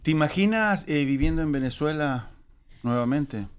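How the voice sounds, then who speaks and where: A man's voice: fairly high pitch, expressive, normal speed, clean tone. A middle-aged man from Chile who grew up in Argentina, hosting a conversational interview. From born in Chile, grew up in Argentina. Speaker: host, interviewer.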